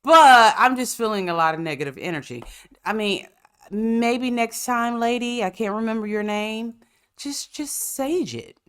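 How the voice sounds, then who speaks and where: A woman's voice: fairly high pitch, a little slow, a bit bright, clear, resonant tone. A woman hosts a casual podcast while stuffed up with a cold. She tells stories with sassy, profane humor and laughs often. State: congested, coughing. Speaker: podcast host. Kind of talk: casual chat.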